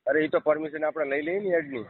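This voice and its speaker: A man's voice: fairly high pitch, fairly even intonation, fast talker, dry recording. A man with a Kathiawadi Gujarati accent in a casual comic phone chat, bantering colloquially and repeating phrases as he agrees. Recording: tinny narrow phone line.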